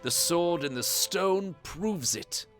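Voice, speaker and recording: A kind middle-aged knight and father from a storybook, as a narrator reads him. He is steady and reassuring, speaking at a measured pace with music underneath. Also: medium pitch.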